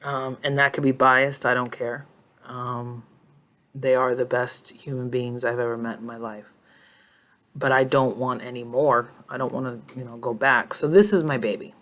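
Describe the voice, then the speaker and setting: A woman's voice: very deep voice, fairly even intonation, normal speed, fairly bright tone. A woman in her forties talking casually and emotionally to her phone in a personal vlog, rambling and reflective. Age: 40s. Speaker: vlogger. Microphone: phone held in hand.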